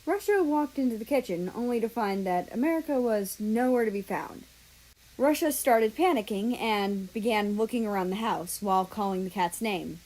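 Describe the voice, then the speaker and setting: Woman reading fanfic out loud, medium pitch, narrator style. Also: normal speed, poor audio. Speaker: fan fiction reader. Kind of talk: scripted story narration.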